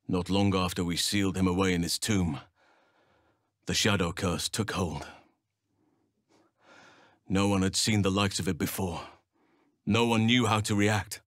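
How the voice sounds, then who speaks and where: An actor playing a burly middle-aged male elf druid in a fantasy game, earnest and gentle, with measured, formal phrasing. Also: sits low, no room sound, studio quality. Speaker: video game character actor.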